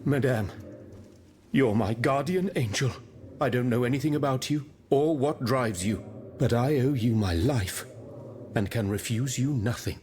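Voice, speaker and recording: A man as an earnest gentleman chemist, mid-pitched. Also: unhurried, slight background noise, close to an everyday voice. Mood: grateful. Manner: formal, articulate, earnest. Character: earnest, courteous French gentleman chemist, learned and grave. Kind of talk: video game dialogue acting.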